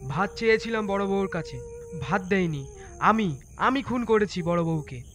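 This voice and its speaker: A man performs a distraught village husband from a dramatized story. His voice is high and tearful. He pleads with authority, repeating his phrases in desperation. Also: lively intonation, moderate pace, fairly bright tone, soft background music. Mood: frantic confessing. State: crying.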